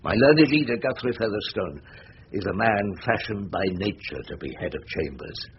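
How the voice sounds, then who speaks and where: An actor playing a wry, rumpled older English barrister in a radio drama, with an orotund, ironic, rhetorical way of talking. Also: male voice, lowish voice, lively intonation, normal speed, natural voice. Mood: grandiose oratory. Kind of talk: BBC radio drama acting and first-person narration. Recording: dull, noisy old radio recording.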